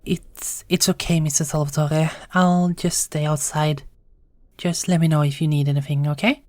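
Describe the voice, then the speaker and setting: Kind bedside nurse for audio drama, female actor: lowish pitch, soothing, courteous, dry close sound. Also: steady tone, normal speed, no echo, natural voice. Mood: reassuring. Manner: gentle, polite, soothing. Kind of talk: audio roleplay acting.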